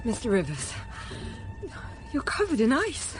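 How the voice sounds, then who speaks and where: An actress playing a frank, self-possessed young schoolteacher in a period radio drama, narrating quietly and thoughtfully. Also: female voice, on the high side, wildly swooping pitch, unhurried, music in the background. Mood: puzzled. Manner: quiet, composed, literate storytelling.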